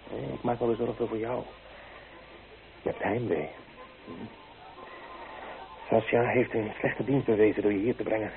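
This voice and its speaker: A man's voice: on the low side, fairly even intonation, normal speed, gravelly, long pauses, music in the background. An actor playing a kindly, fatherly newspaper writer in a radio play, reassuring and paternal in manner. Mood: concerned, gentle. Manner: reassuring, paternal, murmured hms. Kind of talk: Dutch radio play acting. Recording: thin, phone-like old radio recording.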